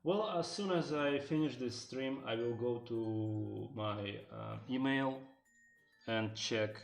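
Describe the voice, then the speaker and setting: A man's voice: medium pitch, slow, creaky. A male streamer talks loosely to his chat while he works, piling up fillers and restarts. He drops into broken learner Japanese for a joke. Faint music plays behind him. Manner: rambling, many fillers, answers chat. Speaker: streamer and game developer. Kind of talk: casual live-stream chat while drawing.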